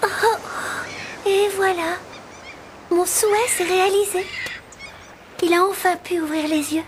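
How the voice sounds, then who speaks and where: Very high, girlish mermaid. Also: unhurried, background noise. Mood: relieved, wistful. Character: lovestruck young mermaid girl, tender and earnest. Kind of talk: French-dubbed cartoon voice acting.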